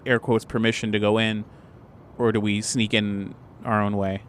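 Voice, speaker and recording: A man's voice: on the low side, level tone, moderate pace, a little hiss or hum. A man chatting casually as a player in a tabletop role-playing game, working out plans. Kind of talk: casual game planning chat.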